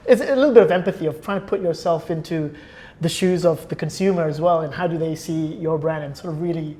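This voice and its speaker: A man speaking as a guest in a relaxed, conversational podcast discussion. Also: a little high, quick pace.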